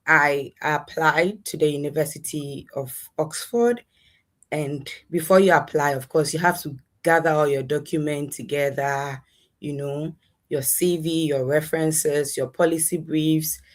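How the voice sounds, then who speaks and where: A young adult woman with a Ghanaian accent, giving conversational answers as an interview guest. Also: low-pitched. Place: in a room at home, online interview.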